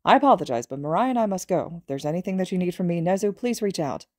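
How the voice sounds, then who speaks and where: A woman voicing a big, kindly adult male hero who speaks calmly and politely in a read-aloud story. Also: on the low side, quick pace, dry recording, clean studio sound, natural voice.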